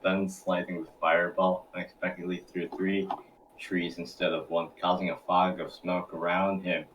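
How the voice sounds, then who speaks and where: A man's voice: deep voice, level tone, normal speed, fairly bright tone, distant mic, slight background noise, poor audio. A man reading scripted narration for a table read, now and then stumbling over his words.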